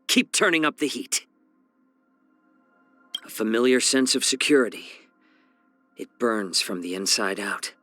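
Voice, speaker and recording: An actor playing a calm, wry, courteous male healer and strategist in a video game, with a measured, genteel, gently teasing delivery. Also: lowish voice, no echo, heavily acted. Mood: poised, encouraging. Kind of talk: scripted video game character voice lines.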